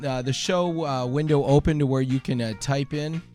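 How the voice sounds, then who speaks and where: A man hosting a podcast quiz, explaining casually and haltingly, correcting himself as he goes. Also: medium pitch, fairly even intonation, a little mellow, soft background music.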